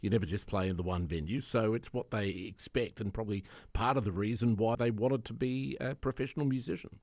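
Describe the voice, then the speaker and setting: Low-voiced radio interviewer, a man. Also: a little fast, a little mellow, no echo, clean studio sound.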